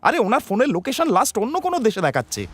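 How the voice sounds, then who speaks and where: Urgent cartoon detective, talks fast, super animated intonation. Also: female voice, fairly high pitch, slightly rough, soft background music, exaggerated voice. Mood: surprised.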